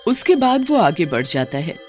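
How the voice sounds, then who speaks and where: A narrator tells a scripted cartoon folk story. The pitch moves up and down in a lively way around a middle register. Music plays behind the voice. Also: normal speed. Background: background music.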